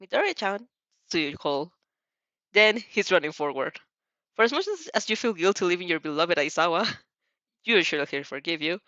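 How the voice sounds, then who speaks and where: A woman's voice: fairly low pitch, lively intonation, normal speed, long pauses, bright, forceful tone, no room sound, lo-fi sound. A woman reading a story aloud expressively as an amateur, often stumbling and rereading phrases. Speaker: fanfiction audiobook reader.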